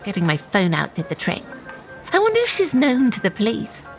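A woman's voice: mid-range voice, wildly swooping pitch, a little fast, soft background music. An actress playing an anxious, self-justifying woman shop manager in a naturalistic audio drama. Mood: uneasy, pensive.

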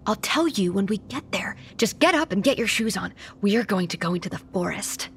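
A woman playing a bossy, secretive young girl in a horror audio drama, hushed and insistent. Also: medium pitch, expressive, a little fast, slight background noise, lightly acted. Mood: hushed urgency.

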